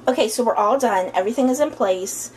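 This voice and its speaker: A woman's voice: on the high side, fast. A woman in her thirties chatting in an upbeat way as she cooks in her home kitchen. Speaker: home cooking vlogger. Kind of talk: casual cooking narration. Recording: noisy kitchen with clattering dishes.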